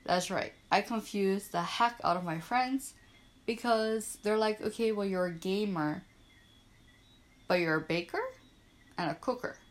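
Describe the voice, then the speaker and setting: A young woman from Bermuda hosting her own small podcast, chatting in an upbeat, self-deprecating way and spelling things out slowly. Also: medium pitch. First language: Portuguese and English bilingual. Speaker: podcast host. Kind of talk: casual solo podcast chat. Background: frogs and crickets at night.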